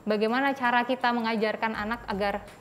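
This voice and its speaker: A woman's voice: fairly high pitch, fairly even intonation, brisk, a little hiss or hum. A young adult woman with an Indonesian accent, hosting a conversational interview. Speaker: interview host. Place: small furnished studio set, indoors.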